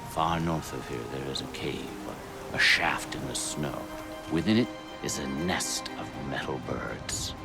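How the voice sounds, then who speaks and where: Deep gravelly cryptic elder, slow solemn talk, game music n rain behind. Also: male voice, full character voice. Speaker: game character.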